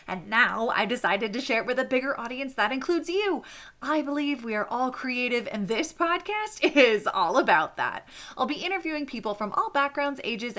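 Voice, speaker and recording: A woman's voice: high-pitched, a bit bright. A woman hosting a podcast, introducing her guest and asking questions in an upbeat, enthusiastic way. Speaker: podcast host.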